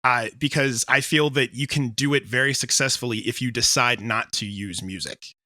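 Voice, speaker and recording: Bright-voiced man explaining his craft. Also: mid-range voice, moderate pace, no echo, studio quality. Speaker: composer and audio drama creator interviewed as a guest. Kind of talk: casual interview talk.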